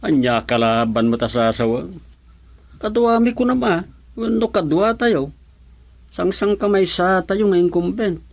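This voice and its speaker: A man's voice: high-pitched, expressive, unhurried. A man with a Filipino accent acting as a friendly, confident village politician in a comedy radio drama, chummy and colloquial.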